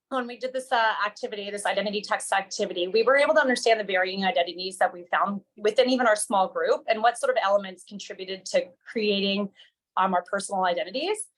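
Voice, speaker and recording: A woman presenting research at an academic symposium in a measured way, often pausing on "um". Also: medium pitch, a bit bright, poor audio. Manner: measured, with frequent ums.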